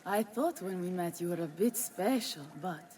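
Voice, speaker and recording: An actress playing a bold, sharp-tongued Italian noblewoman who rules a city, in a video game cutscene with an Italian accent. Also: fairly low pitch, normal speed, slight background noise, close to an everyday voice. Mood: flirty, assured. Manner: brash, swaggering, salty-tongued.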